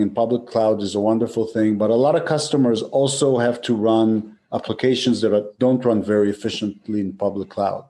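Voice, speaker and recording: Mellow-voiced male executive from Israel. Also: on the low side. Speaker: data center company executive. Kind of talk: interview answers.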